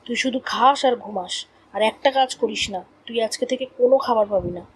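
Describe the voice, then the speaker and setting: A woman's voice: fairly high pitch, brisk, slight background noise, natural voice, poor audio. A woman voicing a cruel, bossy stepmother in a cartoon, scolding and threatening in a scornful manner. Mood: angry scolding. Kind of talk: cartoon voice acting.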